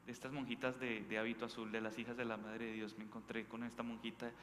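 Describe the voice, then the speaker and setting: A man's voice: medium pitch, flat delivery, fast, a bit bright. A man with a Bogotá Colombian accent giving an informal sermon as a priest, conversational and emphatic, often checking in with his listeners through short questions. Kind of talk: informal sermon, preached talk with anecdotes. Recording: echoey hall with faint background noise.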